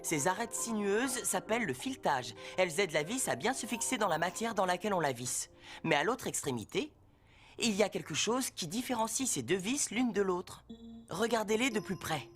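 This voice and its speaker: An actor playing a friendly, patient handyman who hosts a children's cartoon lesson, encouraging and explaining clearly to his tools. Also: mid-range voice, big pitch swings, moderate pace, fairly bright tone. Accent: French with Spanish words mixed in. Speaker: host of a children's how-to segment. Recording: music behind the voice.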